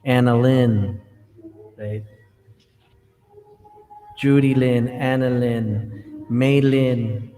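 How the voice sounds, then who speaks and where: Slow-talking man. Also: medium pitch, steady tone, mellow, soft background music. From United States. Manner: unhurried, laughs often, mixes in Spanish and Bisaya words. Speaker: livestream co-host. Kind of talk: casual livestream chat.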